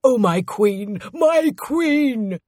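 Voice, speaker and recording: A woman's voice: low-pitched, unhurried, warm tone, husky, dry recording, studio quality. A woman voicing a loyal male servant to a queen in a storybook, formal, earnest and emphatic. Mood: panicked. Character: loyal male royal servant, deferential then panicked and defiant.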